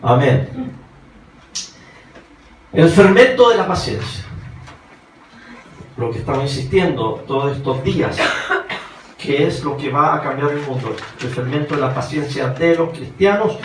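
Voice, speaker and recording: Chilean guy preaching a sermon, kinda high voice, echoey room, mic far away. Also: animated, measured pace, long pauses. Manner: rhetorical questions to the listeners. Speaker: pastor preaching to a congregation. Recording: roomy, distant mic, faint noise.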